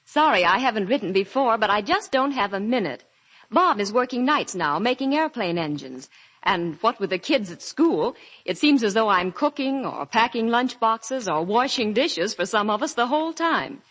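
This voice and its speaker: A young wife in a vintage radio commercial. The voice is a little high, chatty and domestic, as if busy with the household while writing a letter. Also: female voice, animated, normal speed, fairly bright tone. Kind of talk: 1940s radio advertisement acting.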